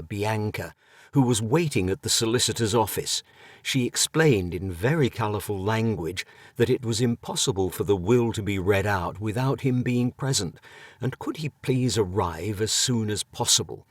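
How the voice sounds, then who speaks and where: Man narrating, unhurried and deep-ish, dry close booth, wry delivery. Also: slightly rough, no echo. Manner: measured, dry humour. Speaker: audiobook narrator. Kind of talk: scripted narration.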